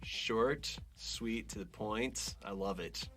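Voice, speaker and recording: A man's voice: fairly low pitch, slow pace, a bit bright, soft background music. A man bantering casually as a podcast co-host, with a playful, teasing, joking manner.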